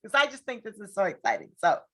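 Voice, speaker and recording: A woman's voice: mid-range voice, sing-song, quick pace, fairly bright tone. A woman hosting a casual online interview, informal and encouraging as she asks her questions. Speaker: interview host.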